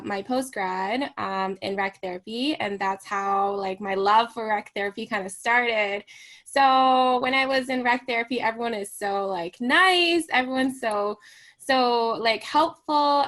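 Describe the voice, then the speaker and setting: A woman's voice: a little high, fairly bright tone. A young woman from Hong Kong, a recreation therapist and guest on a radio interview, talking casually with many fillers and "right?" tags.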